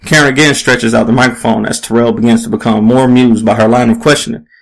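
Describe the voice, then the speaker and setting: A man's voice: mid-range voice, fairly even intonation, fast talker, vocal fry, low-quality recording. A man reading a scripted short story aloud as its narrator. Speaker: story reader.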